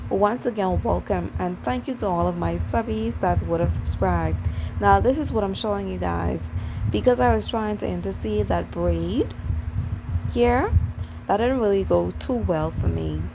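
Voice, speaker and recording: A woman's voice: mid-range voice, creaky, background noise. A woman from the Bahamas with a Bahamian accent, talking through a hair tutorial in a chatty way, repeating herself and speaking to her viewers directly. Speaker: channel host.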